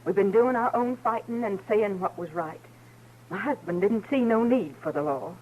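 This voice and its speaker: A woman's voice: medium pitch, a little mellow, natural voice. Woman playing a plain-spoken, resolute frontier mother. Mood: solemn, resolute. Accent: rural American. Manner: earnest, folksy, halting. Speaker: radio actor. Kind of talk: old-time radio western acting. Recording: noisy vintage radio recording with hum.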